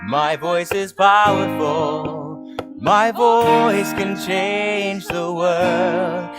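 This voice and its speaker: A man leading a congregation in a slow song with call and response while playing guitar. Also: high voice. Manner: sings slowly and gently, leading call and response. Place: synagogue sanctuary.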